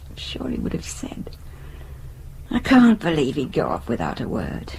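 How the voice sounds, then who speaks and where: A woman's voice: rumbling low, wildly swooping pitch, moderate pace, darkish tone, husky, whispered, heavily acted. An actress playing a resigned, anxious middle-aged British wife and mother in a naturalistic radio drama, hesitant and wistful. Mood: anxious, sad.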